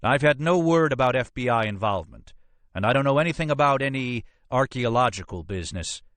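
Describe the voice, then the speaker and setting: Guy doing a blustery police captain for an audiobook, officious, pushed up high and kinda pleading. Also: animated, a little fast, fairly bright tone, no echo, natural voice. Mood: defensive. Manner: blustering, protesting.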